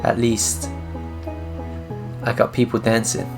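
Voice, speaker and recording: A man's voice: medium pitch, monotone, slow, gravelly, music in the background. A man giving casual gameplay commentary with wry, grumbling asides. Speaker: gaming commentator.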